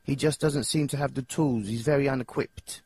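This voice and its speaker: An actor with an English accent voicing a nervous, dim, childlike young singer in a video game, hesitant and rambling in slangy speech. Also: male voice, medium pitch, fairly even intonation, normal speed, darkish tone, breathy edge, no room sound. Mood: dismissive, chatty. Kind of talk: video game character dialogue.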